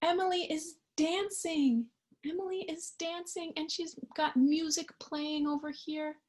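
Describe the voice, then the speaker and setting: A woman reading a picture book aloud to young children in an expressive, child-directed storytime style. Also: very high-pitched, measured pace. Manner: expressive, child-directed, with questions and sound effects.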